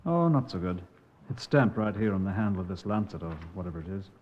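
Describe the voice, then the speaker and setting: An actor playing a keen, dogged junior detective in a 1950s radio crime drama, terse and matter-of-fact. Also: male voice, deep voice, expressive, fast talker, a little mellow, slight background noise. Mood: eager. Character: keen, dogged male police subordinate detective. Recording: muffled old-time radio recording.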